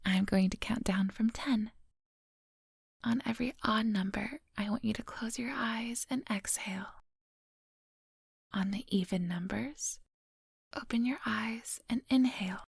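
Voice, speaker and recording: A woman playing a coolly menacing, coaxing vampire hypnotist in a soft whispered ASMR roleplay, close to the microphone. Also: on the high side, vocal fry, slightly rough, no echo, exaggerated voice. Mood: coaxing, controlled. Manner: slow, coaxing, hypnotic instructions. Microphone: close microphone, dry.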